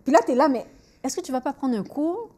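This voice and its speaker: A woman's voice: sits high, a little fast. A woman in her forties from Montreal with a Montreal French accent, hosting a podcast and telling candid stories while switching freely between French and English. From Montreal, Canada. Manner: lively, switches freely between French and English, repeats words when thinking. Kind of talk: candid conversational storytelling.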